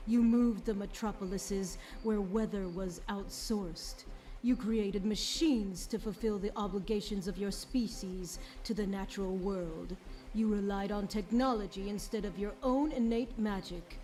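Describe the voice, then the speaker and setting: A woman plays an audio drama villain, a vengeful nature spirit in a stolen pony body. The voice is mellow and dark, delivering slow, imperious accusations in a grand, measured manner. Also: medium pitch, steady tone, lightly acted. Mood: accusing, scornful. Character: vengeful, imperious tree spirit possessing a broken pony's body.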